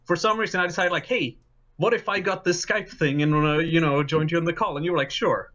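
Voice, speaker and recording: A Swedish-accented man whose first language is Swedish chats easily as a podcast guest. His voice sits a little high for a man, and his delivery is laid-back, with dry humor. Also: brisk, bright, forceful tone, lo-fi sound. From Sweden. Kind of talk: casual podcast chat.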